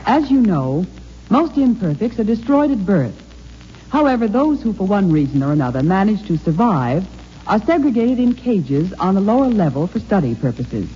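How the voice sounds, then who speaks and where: Actress: measured female scientist, clinical with hidden tenderness, mellow, expressive, American 1950s radio sound, muffled with hum. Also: medium pitch, natural voice. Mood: composed, clinical.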